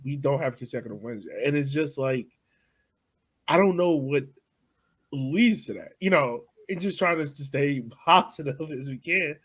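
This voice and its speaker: A man co-hosting a casual soccer podcast, thinking aloud in an animated way. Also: a little high, normal speed. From United States. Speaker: podcast co-host. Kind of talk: casual sports chat.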